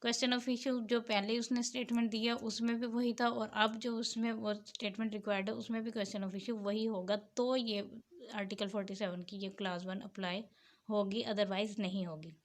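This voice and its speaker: A woman, teaching a legal statute: she reads the English text slowly and explains it in a plain lecture manner. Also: mid-range voice, flat delivery. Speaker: law lecturer.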